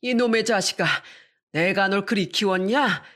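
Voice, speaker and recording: A woman's voice: mid-range voice, unhurried, fairly bright tone, close to an everyday voice. A woman voicing a fierce, protective old grandmother who shrilly scolds her grown son. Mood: scolding.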